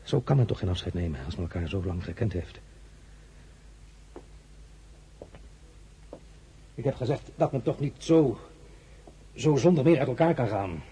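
An actor playing a commanding, weary emperor in a radio drama, halting and imperious, with a nostalgic streak. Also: male voice, on the low side, lively intonation, warm tone, a little hiss or hum, rough recording. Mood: wistful, pleading. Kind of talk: dramatic radio-play acting.

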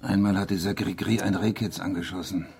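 A man's voice: sits low, fairly even intonation, a little fast, creaky, darkish tone. An actor playing a troubled, bitter village man, brooding and intense, in a German radio crime drama. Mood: grim, agitated. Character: troubled, bitter rural Frenchman, haunted by village violence.

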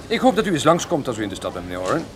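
A man's voice: mid-range voice, big pitch swings, very fast, close to an everyday voice. A man playing a flirtatious, sociable jazz disc jockey with a self-deprecating manner in a radio drama. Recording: clear crowd chatter behind. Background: bar crowd.

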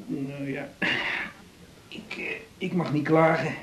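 An actor with a Netherlands Dutch accent playing a stubborn, complaining hospital patient in a radio drama, insistent and repeating himself. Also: male voice, fairly high pitch, fairly even intonation, slow pace, slight background noise, rough recording. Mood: grumbling, cheeky. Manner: insistent, repetitive, stammering protests.

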